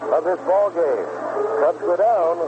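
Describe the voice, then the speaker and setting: A man's voice: fairly high pitch, animated, a little slow. A man doing live play-by-play baseball commentary as a radio announcer. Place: outdoor ballpark broadcast booth. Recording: dull, noisy 1970s AM radio broadcast. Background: clear outdoor ambient noise.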